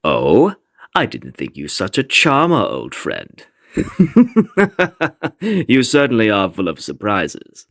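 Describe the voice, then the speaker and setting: A scheming villain lord from a fantasy comic dub, very deep and slow. The delivery swoops dramatically, dripping with sinister condescension and gloating. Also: male voice, wildly swooping pitch, vocal fry, no echo, studio quality, exaggerated voice. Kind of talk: comic fandub voice acting.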